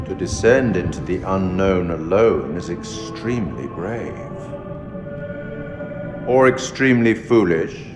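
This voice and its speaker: Slow, ominous keeper of tales. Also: male voice, deep voice, animated. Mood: measured, foreboding. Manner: grave, deliberate, cryptic, formal. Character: mysterious, ominous male curator and keeper of tales. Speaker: narrator addressing the player. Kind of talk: theatrical game narration. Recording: echoey hall with loud music behind.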